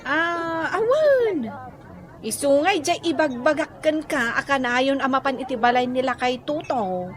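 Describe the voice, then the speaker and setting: High, slow-drawling nosy Ilocano villager. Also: female voice, expressive. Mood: scandalized. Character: nosy, chatty village woman, easily astonished. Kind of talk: radio comedy drama acting.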